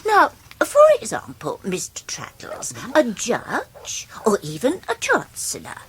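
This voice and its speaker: An English actress in a period radio drama, playing a genteel, ambitious, devoted middle-aged wife who speaks in an earnest, grandly formal way. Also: female voice, fairly high pitch, huge pitch swings, slow, a bit bright, breathy edge, whispered, full character voice, poor audio. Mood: insistent, lofty.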